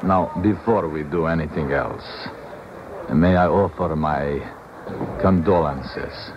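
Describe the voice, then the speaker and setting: An actor playing a genial visiting foreign scientist in a 1970s radio drama, jovial and courtly in formal phrases. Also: male voice, very deep voice, takes it slowly. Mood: courteous. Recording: muffled noisy old radio broadcast.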